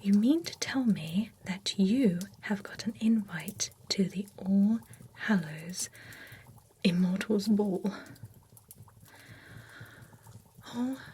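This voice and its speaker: A woman's voice: medium pitch, slow pace, husky. A woman whispering as a nosy undead-spa beautician. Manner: soft unvoiced whisper throughout; chatty and nosy. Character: gossipy, envious female beautician at an undead spa. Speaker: ASMR roleplay creator. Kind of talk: whispered ASMR roleplay. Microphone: close ASMR microphone.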